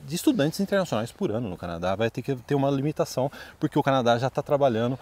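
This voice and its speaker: A Brazilian man in middle age talks very fast, with a pitch that swings up and down. He hosts in a casual, emphatic, joking way, slipping easily into a sales pitch. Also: animated, a little mellow. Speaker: video host and study-abroad adviser. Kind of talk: casual two-host chat with promotional pitch. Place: outdoors in a wooded residential neighbourhood.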